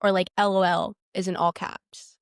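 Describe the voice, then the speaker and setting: A woman's voice: on the low side, flat delivery, normal speed, dry recording, studio quality. A young woman chatting casually as a podcast co-host. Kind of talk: casual chat.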